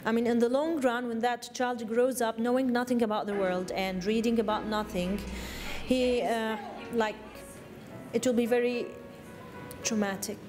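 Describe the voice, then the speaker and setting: Halting woman, reverberant interview. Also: on the high side, steady tone. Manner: halting, searching for words. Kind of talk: interview answer. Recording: long reverb with music.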